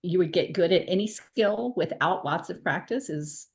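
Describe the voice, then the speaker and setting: A woman's voice: lowish voice, normal speed. A woman academic on a panel over a video call, earnest and insistent, repeating words for emphasis. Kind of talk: panel discussion.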